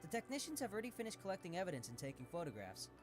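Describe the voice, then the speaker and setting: Animated, eager young detective. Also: male voice, high voice, very fast, over music. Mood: matter-of-fact. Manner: enthusiastic, playful. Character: cheerful, eager young male detective with a camera. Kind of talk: anime visual novel voice acting.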